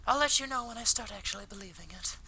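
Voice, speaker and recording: Man putting on a high, bright schoolgirl voice. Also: quick pace, husky, exaggerated voice, rough recording. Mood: earnest, encouraging. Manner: polite, gently apologetic, teasing. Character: kind, earnest, teasing high school girl. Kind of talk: visual novel character read aloud.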